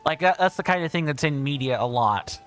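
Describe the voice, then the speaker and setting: A man livestreaming a game, chatting casually and reading out viewer comments, with a big booming voice. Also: animated, fairly quick, fairly bright tone, soft background music. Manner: chatty, thinks aloud, reads viewer comments. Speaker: streamer. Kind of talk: casual gameplay livestream chat.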